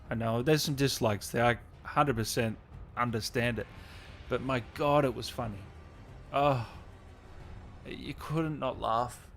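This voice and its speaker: A man hosts a casual live stream in a mid-pitched voice. He reads out comments and thanks viewers in an enthusiastic, informal, matey manner. There is some faint ambient noise behind him. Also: vocal fry, fairly bright tone.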